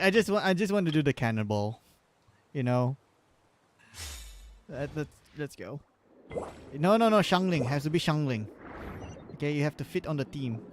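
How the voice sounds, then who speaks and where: A man giving casual livestream game commentary in a halting, joking way, often repeating his words. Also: fairly high pitch. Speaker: live streamer. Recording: game music behind the voice.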